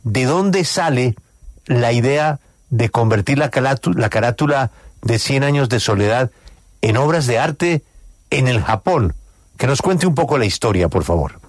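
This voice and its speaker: A man's voice: mid-range voice, expressive, measured pace, no room sound, clean studio sound. A man hosting a live radio interview, speaking emphatically and repeating key phrases.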